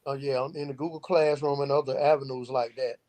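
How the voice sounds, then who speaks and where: A man's voice: medium pitch, fairly even intonation, fairly quick, darkish tone. A man speaking as an interview guest, explaining earnestly and posing rhetorical questions that he then answers himself. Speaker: founder of a youth outreach organization, podcast guest.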